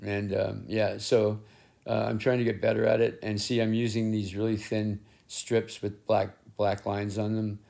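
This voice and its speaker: A middle-aged man with a rough, raspy voice that sits somewhat low. He talks casually and haltingly, restarting words and poking fun at himself. It sounds like a painter narrating his own studio work through a head-mounted camera mic. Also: completely flat delivery, a little mellow. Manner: rambling, self-deprecating, halting with restarts. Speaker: collage artist and painter. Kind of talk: casual narration while working. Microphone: head-mounted action camera.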